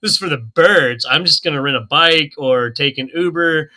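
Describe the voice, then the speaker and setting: A man from Oklahoma talks on a casual group call. His voice is very bright and sits a little high. He tells stories with energy, laughs often and acts out what people said. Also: normal speed. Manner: animated storyteller, laughs often, acts out quotes. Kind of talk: casual chat.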